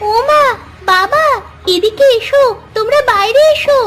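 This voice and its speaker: A woman's voice: very high voice, lively intonation, a little slow, a bit bright, faint music behind, full character voice. A woman voicing an eager, sweet little village girl in a cartoon fairy tale, with a childlike manner. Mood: excited.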